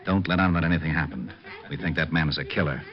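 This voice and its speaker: An actor playing a worried, doubtful police sidekick in an old-time radio crime drama. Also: male voice, a bass voice, quick pace. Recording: muffled vintage radio recording, music behind.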